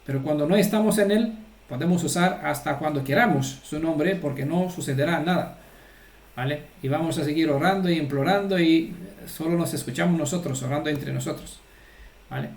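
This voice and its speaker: A man's voice: fairly high pitch, a little fast, echoey room. A man giving an informal, earnest religious teaching and often checking that his listeners follow. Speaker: Torah teacher.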